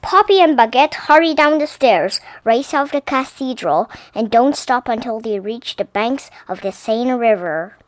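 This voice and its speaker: A woman narrating a children's story in an animated, theatrical read-aloud style. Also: a little high, a little slow, hardly any pauses, no echo. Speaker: storybook reader.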